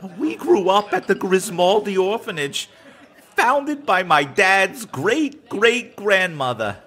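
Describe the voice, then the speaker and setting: An actor playing a blustering mobster gambler, brash and excitable in a comedy audio drama. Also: male voice, sits high, slow, husky, heavily acted. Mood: wistful. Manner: excitable, brash, tough-guy slang.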